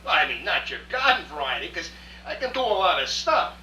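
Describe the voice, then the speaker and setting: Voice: mid-range voice, very animated, fairly quick, sharp tone. An actor playing a wisecracking, streetwise genie with a New York accent and slangy, chummy patter in a live radio drama. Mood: brash, chummy. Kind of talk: stage radio drama acting. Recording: roomy far-off rehearsal recording, faint hiss.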